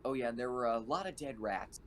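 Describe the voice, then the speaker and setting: A man roleplaying casually at a tabletop game in a low-energy way. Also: lively intonation, a little fast, fairly bright tone. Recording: background noise, keyboard typing.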